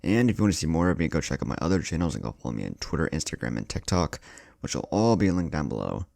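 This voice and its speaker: A man's voice: a bass voice, very animated, fast, gravelly, darkish tone, no room sound, studio quality. A man narrating a scripted fan fiction story as a channel storyteller, with music playing behind him. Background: background music.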